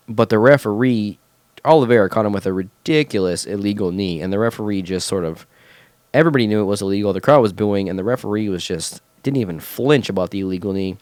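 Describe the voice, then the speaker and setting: A man's voice: lowish voice, quick pace, a little mellow, no room sound. A man hosting his own podcast, chatting casually with a nasal, stuffed-up sound and lots of fillers. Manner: chatty, enthusiastic, many fillers. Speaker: podcast host. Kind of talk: casual solo podcast talk. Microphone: home podcast mic through a mixer.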